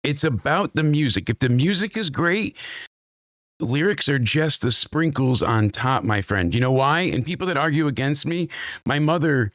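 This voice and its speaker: A man's voice: medium pitch, expressive, moderate pace, a bit bright, no room sound, clean studio sound. A man hosting a wrestling review show in casual chat, rambling and opinionated with lots of restarts, his voice stuffed up and worn.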